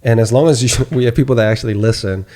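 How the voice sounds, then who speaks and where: A man with a dark, mellow voice hosts a casual podcast chat. He talks excitedly and keeps repeating phrases for emphasis. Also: mid-range voice, quick pace. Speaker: podcast host.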